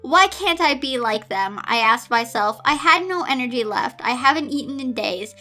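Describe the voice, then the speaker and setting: A woman's voice: high voice, expressive, moderate pace, pushed and bright, soft background music. A woman reading a story aloud as a depressed, sarcastic young girl narrating her own misery. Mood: miserable. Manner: self-pitying, sarcastic. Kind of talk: amateur dramatic story reading.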